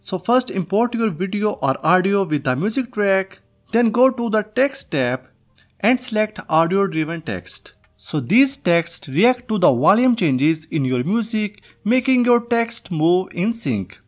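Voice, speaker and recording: A man presenting a software tutorial as host, reading a scripted narration. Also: high-pitched, sing-song, a little slow. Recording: music behind the voice. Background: background music.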